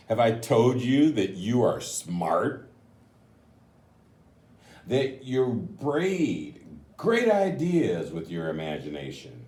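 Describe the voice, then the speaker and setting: A man with a fairly low, mellow voice reads a picture book to young listeners at an unhurried pace. His pitch moves expressively as he gently explains and adds chatty asides. The recording has a noticeable room echo. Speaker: mayor reading a picture book to children. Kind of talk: read-aloud storytelling with chatty asides. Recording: roomy, echoing voice recording.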